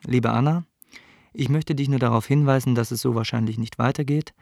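An actor playing a hostile, officious theatre dramaturge, delivering a formal, admonishing letter in a radio drama. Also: male voice, mid-range voice, steady tone, fairly quick, creaky, a little mellow, no echo, clean studio sound, close to an everyday voice. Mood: cold, reproachful.

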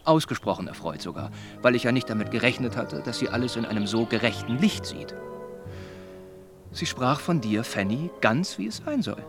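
An actor playing an earnest, well-meaning young gentleman in a German radio drama, speaking in a measured, formal, persuading way. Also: mid-range voice, sing-song, music in the background.

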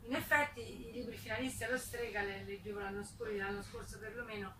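Woman talking informally over loud noise. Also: a little high, steady tone, fast. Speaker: speaker at a book presentation. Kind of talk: informal impromptu public talk. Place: live book presentation event. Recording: very noisy, echoey, distant mic.

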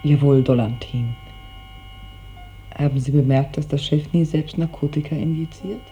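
Actor as a muffled, higher-voiced hospital man. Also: moderate pace, dark tone, lightly acted. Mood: dutiful, clinical. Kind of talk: stylized 1950s German radio drama acting. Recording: muffled old radio recording, faint hum.

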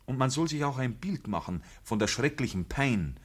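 A man's voice: mid-range voice, animated, moderate pace, slightly rough. A man playing a pious, unbending village priest in a radio play, preaching in a formal, insistent manner. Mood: fervent, admonishing. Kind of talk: radio play acting, clerical declamation.

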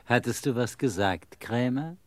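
An actor playing an easygoing, wistful vagabond in a German radio play, with an unhurried, musing delivery. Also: male voice, mid-range voice, animated, darkish tone, no room sound, lightly acted. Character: easygoing vagabond Mario, wistful lover of quiet.

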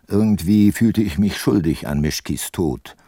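An actor playing an ageing, remorseful detective and former prosecutor in a radio drama, narrating and talking in a measured, reflective way. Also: male voice, low-pitched, warm tone, no room sound, clean studio sound. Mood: reflective, sober. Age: older. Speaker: narrator and lead character.

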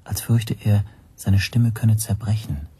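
An actor playing a watchful first-person narrator in a radio drama, with a breathy, husky voice. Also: male voice, on the low side, measured pace, dark tone. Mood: observant, tense.

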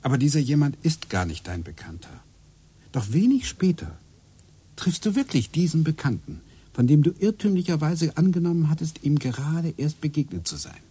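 An obsessive middle-aged husband, radio play, earnest lecturing tone, lively swooping pitch, mellow husky voice. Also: male voice, moderate pace. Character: obsessive husband hunting coincidences.

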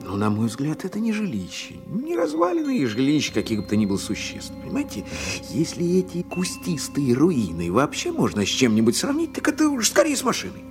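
An earnest, excitable space scientist in an audio drama. His pitch swings very widely as he thinks aloud, emphatic yet hesitant. Music plays under his voice. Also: fairly quick, few pauses. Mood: pondering. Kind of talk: radio drama acting.

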